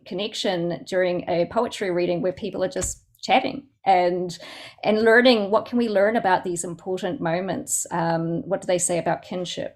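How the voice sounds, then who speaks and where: A woman with a Southland New Zealand accent and a slightly low voice speaks informally as a guest poet. She is thoughtful and halting, often pausing to search for the right word. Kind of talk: informal question-and-answer talk at a poetry event.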